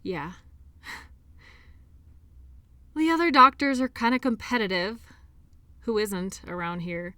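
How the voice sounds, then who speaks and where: A woman acting an earnest, defensive and lonely sports doctor in an intimate audio drama, speaking in an emotional, halting way. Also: medium pitch, expressive, sharp tone, dry recording. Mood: earnest, wistful. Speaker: audio roleplay performer.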